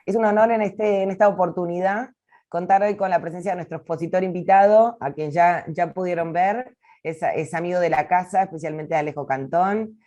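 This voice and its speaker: Woman host opening a webinar, lowish voice, formal welcome and speaker intro. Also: fairly quick, mellow, dull audio. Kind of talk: formal welcome at an online event.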